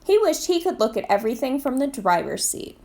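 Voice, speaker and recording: A woman reading a children's picture book aloud in an expressive storytime style. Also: sits high, brisk, vocal fry. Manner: expressive read-aloud delivery. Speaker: storytime reader, literacy program manager.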